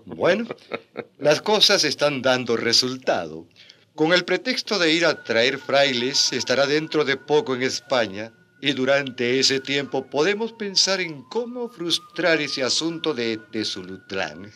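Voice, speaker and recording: A smug colonial bishop in a radio drama. His voice is bright and highly varied in melody. He speaks slowly and deliberately, with sly condescension. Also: big pitch swings. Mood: smug plotting. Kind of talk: Spanish-language radio drama acting.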